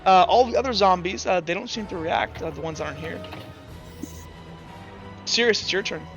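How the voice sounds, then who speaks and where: High, bright-voiced young man running a game. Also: moderate pace, creaky. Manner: loose, rambling narration with frequent fillers. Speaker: game master. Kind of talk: narrating a tabletop game. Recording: slightly muffled, dull audio. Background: faint background music.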